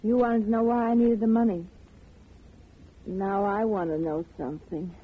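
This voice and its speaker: An actress playing a desperate, shrewdly pleading young woman of old radio drama. Her voice is husky, at a middling pitch. The sound is thin and phone-like. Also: fairly even intonation, moderate pace, lightly acted. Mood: insistent. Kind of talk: 1950 radio drama acting. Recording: tinny old radio recording.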